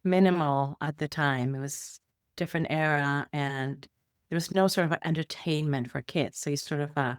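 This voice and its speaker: A woman's voice: sits low, normal speed, smooth, dry recording, studio quality. A middle-aged woman from Iceland, a guest telling her life story in a rambling way with many fillers and restarts. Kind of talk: conversational interview storytelling.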